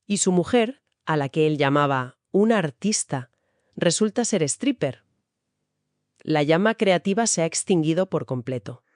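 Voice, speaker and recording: A woman's voice: low voice, animated, a little slow, a little mellow, dry recording. Woman discussing books, dry close sound. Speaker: co-host of a book discussion. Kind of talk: conversational literary commentary.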